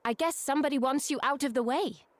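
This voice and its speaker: Give me a young adventurer girl from a video game acted by a woman. She is brisk and direct, with a high-pitched voice. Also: no echo, lightly acted. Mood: matter-of-fact. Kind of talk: video game cutscene acting.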